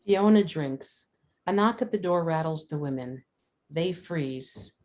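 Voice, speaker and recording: Female narrator for screenplay stage directions; deep-pitched voice, scripted read. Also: lively intonation, unhurried, a little mellow.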